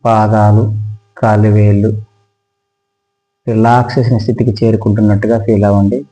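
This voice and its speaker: A man guides a relaxation meditation as a yoga instructor, speaking slowly and soothingly with pauses. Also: on the low side, flat delivery, mellow, rough recording.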